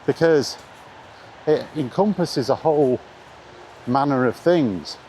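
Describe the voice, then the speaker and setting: A man's voice: mid-range voice, expressive, slow pace, darkish tone. Middle-aged man rambling outdoors, background noise. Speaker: video blogger talking about retro games. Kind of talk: casual rambling opinion monologue. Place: outdoors in the rain, walking. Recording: noisy outdoor recording with ambient noise.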